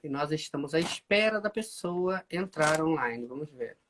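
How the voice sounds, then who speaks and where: A man's voice: a little high, normal speed, noisy background. A Brazilian man with a Brazilian Portuguese accent, hosting a casual live stream from the UK and chatting with his viewers in Portuguese and accented English. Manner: chatty, animated, addresses viewers as "gente". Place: home in the UK.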